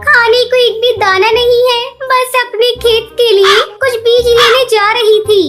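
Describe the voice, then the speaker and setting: A woman's voice: steady tone, unhurried, fairly bright tone. A woman voicing a young orphaned girl bird in a cartoon story, sweet, plaintive and earnest in a childlike voice. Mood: sad, worried. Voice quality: high, childlike. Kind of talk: Hindi cartoon moral story acting. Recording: music behind the voice.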